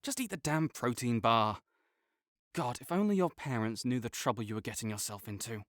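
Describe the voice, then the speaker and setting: A man's voice: medium pitch, normal speed, a bit bright, slight background noise, natural voice. A young man playing a prickly male academic rival who is grudgingly kind, talking teasingly and defensively to a silent listener in an audio roleplay. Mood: bickering.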